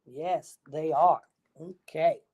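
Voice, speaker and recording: A woman's voice: low voice, steady tone, takes it slowly, husky, phone-quality sound. A woman reading a children's story aloud expressively, with asides to her young listeners.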